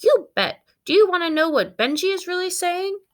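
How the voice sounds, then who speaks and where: A woman's voice: very high-pitched, very animated, normal speed, voice pushed up. A woman voicing a blunt, talkative female family dog in a children's storybook. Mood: bossy, cheerful. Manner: outspoken, cheeky, talkative.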